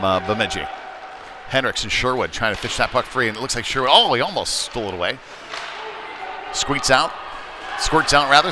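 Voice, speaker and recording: Man calling live hockey over noisy rink. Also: medium pitch. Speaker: play-by-play commentator. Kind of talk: live youth ice hockey play-by-play commentary. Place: indoor ice rink. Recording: noisy rink ambience, bouncing knocks.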